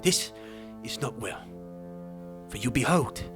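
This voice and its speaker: An arrogant, wrathful warrior king acted by a man from an epic audiobook drama. He declaims in a mid-pitched voice, haughty and threatening, scornfully giving orders. Music plays behind him. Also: lively intonation, slow, a bit bright. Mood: furious, scornful. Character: enraged, arrogant king of men, scornful and commanding.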